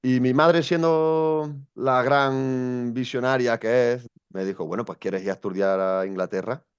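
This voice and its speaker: A man's voice: mid-range voice, steady tone, unhurried, clear, resonant tone, muffled recording. A young man from Spain, a guest telling his story in an interview, thoughtful and hesitant with many restarts. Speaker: guest, education coach.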